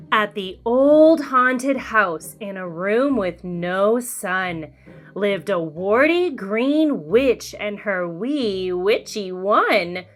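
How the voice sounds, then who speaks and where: Female children's storyteller. Lively, swooping pitch, deliberate sing-song read, background music. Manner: animated, slow and deliberate sing-song delivery. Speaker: children's read-aloud host.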